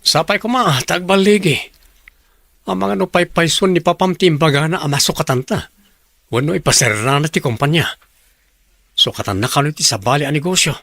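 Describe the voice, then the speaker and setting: A man's voice: on the high side, a little fast, vocal fry, pauses often, fairly bright tone, dry recording, clean studio sound. A man voicing a chatty, gossipy office worker in a radio drama.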